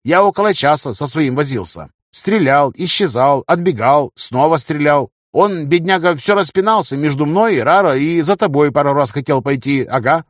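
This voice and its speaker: Man voicing a chatty young guy, higher-pitched, husky-free storytelling. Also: lively intonation, moderate pace, few pauses, no echo, studio quality, natural voice. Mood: animated bragging. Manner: colloquial, ends phrases with a verbal tic. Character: chatty young man, boastful fighter recounting battles. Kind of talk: audiobook character dialogue.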